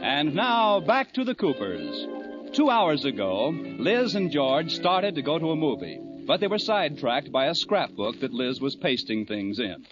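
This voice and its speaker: High, animated American radio announcer. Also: male voice, a little slow, over loud music. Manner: cheery, polished salesman delivery. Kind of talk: 1940s radio commercial and announcing. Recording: muffled old radio recording.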